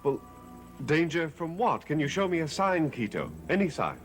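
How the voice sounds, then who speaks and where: Gothic soap manor master: courteous, earnest, brooding, animated delivery over music. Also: male voice, on the high side, moderate pace. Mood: concerned. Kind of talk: 1970s gothic soap opera acting. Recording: music behind the dialogue.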